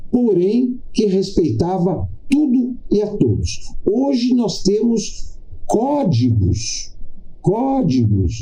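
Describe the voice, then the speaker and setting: Slow, dark-voiced Brazilian man, lecturing. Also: on the high side, poor audio. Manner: didactic, deliberate, emphatic with repetition and pauses. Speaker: podcast host. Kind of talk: political commentary monologue.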